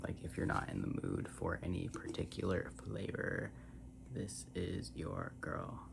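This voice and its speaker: A soft-spoken ASMR creator rambling casually and meandering, trailing off toward near-whispers. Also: very animated, measured pace. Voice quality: soft, low, creaky voice. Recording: noisy recording with tapping and humming. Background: hum, tapping and scratching sounds.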